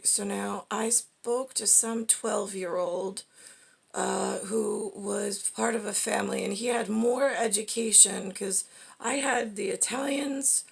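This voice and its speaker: A woman talks in a rambling, stream-of-consciousness way, her voice heavily creaky and husky. She drifts from one thought to the next in halting pieces, often tagging them with a short questioning check. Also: mid-range voice. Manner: halting, digressive, with frequent self-questioning tags like "right?"; acts out imagined two-way conversations.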